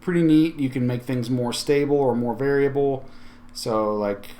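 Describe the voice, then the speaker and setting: A man with a mid-pitched voice hosts a software tutorial. He talks informally and conversationally, thinking aloud as he walks through each step. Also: moderate pace. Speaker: tech video host. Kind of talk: casual software tutorial walkthrough.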